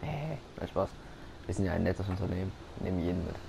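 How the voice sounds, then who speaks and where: Gravelly low German-speaking gamer. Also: male voice, lively intonation, fast. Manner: rambling, joking to himself. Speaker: gaming YouTuber. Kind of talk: casual let's-play commentary. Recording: noisy gameplay recording.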